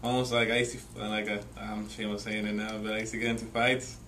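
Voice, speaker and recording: Low-voiced 18-year-old man, heard from afar. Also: flat delivery, fast, fairly bright tone. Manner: casual, leans on "you know" and "like". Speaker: young father telling his story. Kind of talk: candid personal testimony. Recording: distant mic with faint noise.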